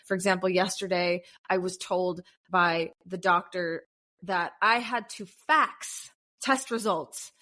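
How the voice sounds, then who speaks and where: A woman in her thirties from the United States, a podcast guest chatting casually and telling lively stories. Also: slow pace. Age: 30s. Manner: animated storyteller, bursts into high-pitched acted quotes and laughs. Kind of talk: casual interview chat.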